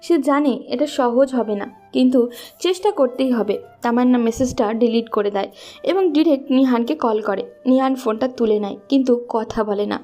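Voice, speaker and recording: A woman's voice: on the high side, normal speed, dark tone, soft background music. A woman narrator reading a scripted love story.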